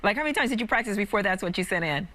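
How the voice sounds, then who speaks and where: A woman's voice: medium pitch, lively intonation, very fast, bright, forceful tone. An American woman co-hosting a TV talk show, asking questions in a conversational style. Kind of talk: conversational TV interviewing.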